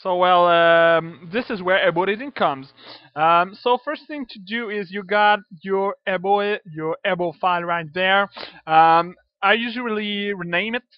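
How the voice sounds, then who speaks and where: A man's voice: high voice, measured pace. A man with a French accent, a native French speaker, giving a casual screen-recorded modding tutorial, hesitant with many fillers and casual swearing. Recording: dull dry lo-fi computer mic.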